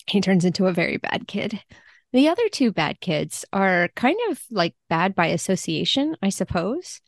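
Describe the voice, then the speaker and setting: A woman chatting casually and wryly about books as a podcast guest, quick to laugh. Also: medium pitch, big pitch swings, no room sound, studio quality. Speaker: guest, literary translator.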